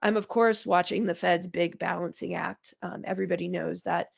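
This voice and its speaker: A woman's voice: lowish voice, steady tone, normal speed, highs cut off. Nasal woman with a head cold. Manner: explains in clear plain terms, lists points. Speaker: guest market strategist. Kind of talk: conversational podcast interview.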